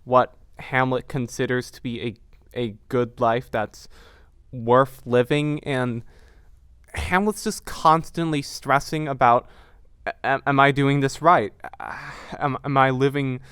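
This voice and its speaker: A man's voice: mid-range voice, fairly bright tone, clean tone, dry recording, clean studio sound. A young man talking reflectively in an interview, earnest and searching for words, often repeating himself. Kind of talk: reflective interview talk about a production.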